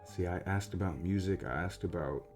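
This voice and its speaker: An American man chatting casually and unscripted to his audience, halting and rambling, with self-deprecating, sweary asides. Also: sits low, darkish tone, faint music behind. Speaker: voice actor, channel creator. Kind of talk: casual unscripted outro chat.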